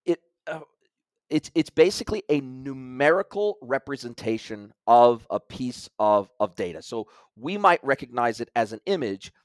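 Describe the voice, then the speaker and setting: Male interview guest, mid-pitch, long technical explanations with frequent restarts, dry close recording. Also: animated, normal speed, no room sound. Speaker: guest expert. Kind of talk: conversational interview.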